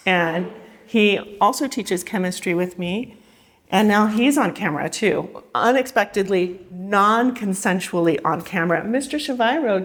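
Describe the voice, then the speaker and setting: A woman from California, a teacher, talking in a chatty, relaxed, upbeat way in an interview. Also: mid-range voice. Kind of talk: casual interview talk. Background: soft guitar music.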